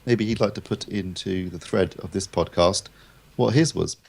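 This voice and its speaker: A man's voice: deep voice, moderate pace, vocal fry, darkish tone, breathy edge. A man co-hosting a podcast, chatting casually and laughing readily. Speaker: podcast co-host.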